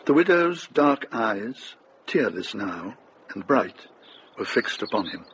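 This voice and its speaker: An actor narrating as a grave, measured storyteller in a scripted radio drama. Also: male voice, fairly low pitch, big pitch swings, a bit bright, slight background noise, full character voice, poor audio. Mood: tender, wistful.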